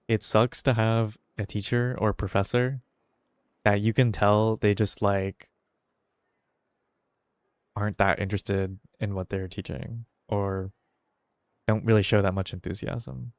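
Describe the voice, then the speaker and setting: A man's voice: lowish voice, steady tone, unhurried, pauses often, no room sound, studio quality. A man giving offhand, slangy commentary while playing a game, often muttering to himself. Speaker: game streamer. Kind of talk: casual gameplay commentary. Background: game music.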